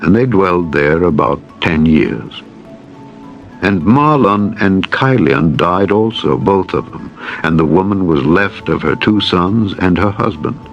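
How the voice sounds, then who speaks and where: Deep-voiced man solemnly narrating scripture over music. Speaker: audiobook narrator. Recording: dull lo-fi sound with music. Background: background music.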